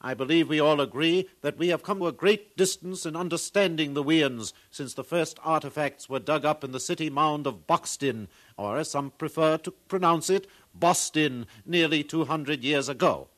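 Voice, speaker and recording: An actor playing a learned, proud museum curator with formal, professorial speech in a 1950s radio drama. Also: male voice, a little high, normal speed, few pauses, a bit bright, close to an everyday voice. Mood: scholarly, pleased.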